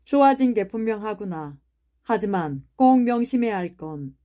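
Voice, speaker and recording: A woman's voice: mid-range voice, lively intonation, moderate pace, dark tone, voice pushed up, close to an everyday voice. A woman voicing a timid, hesitant middle-aged male doctor in an audiobook. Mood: cautious. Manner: hesitant, mild. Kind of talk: audiobook character reading.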